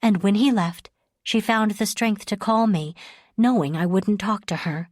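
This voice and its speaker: A woman's voice: on the high side, normal speed, a little mellow, no room sound, clean studio sound, pitched above the performer's own voice, natural voice. A woman reading an audiobook, voicing a distraught young woman who pleads and repeats herself breathlessly. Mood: tearful insistent. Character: frantic distraught young woman, shaken and remorseful.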